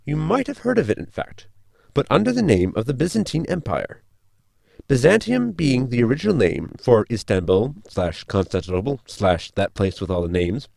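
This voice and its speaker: A man's voice: mid-range voice, very animated, normal speed, vocal fry, darkish tone, no room sound, studio quality. A man playing a polite, pedantic robot crewmate who explains things in a sci-fi comedy audio drama. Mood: lecturing. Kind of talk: sitcom audio drama acting.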